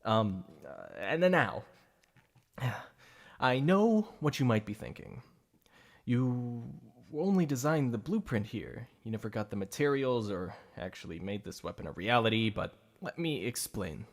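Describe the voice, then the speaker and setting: A man's voice: mid-range voice, very animated, measured pace, gravelly. A man performing a boastful, playful supervillain for an ASMR roleplay, with a secret obsession behind the show. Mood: flustered. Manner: showy, mocking, playful.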